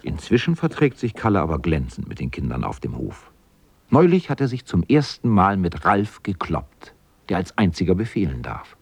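An actor narrating a children's radio play as a plain-spoken storyteller with a husky voice. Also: male voice, low voice, big pitch swings, moderate pace, darkish tone, heavily acted. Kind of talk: scripted narration in a radio drama.

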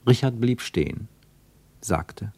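Man narrating an old radio play, lowish voice, measured and literary, dry close studio sound. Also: dark tone, husky, no echo. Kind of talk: scripted narration in a radio drama.

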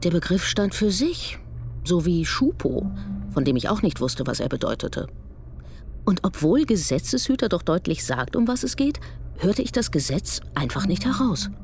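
A woman's voice: lowish voice, very animated, moderate pace, darkish tone, a little hiss or hum. A woman playing a reflective, questioning speaker in a scripted philosophical radio-play dialogue. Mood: pensive.

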